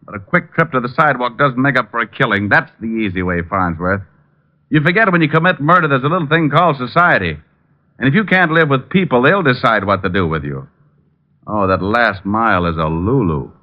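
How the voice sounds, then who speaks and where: An actor playing a wisecracking, hard-boiled private detective in an old radio crime drama, with a wry, needling, slangy delivery. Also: male voice, mid-range voice, lively intonation, brisk, close to an everyday voice. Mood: wry moralizing. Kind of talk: 1940s-50s radio crime drama acting. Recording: muffled old radio broadcast.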